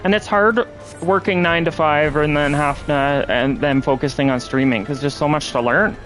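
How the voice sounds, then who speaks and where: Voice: mid-range voice, moderate pace, fairly bright tone, poor audio. Nasal game streamer chatting over music. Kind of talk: casual live stream chat while playing.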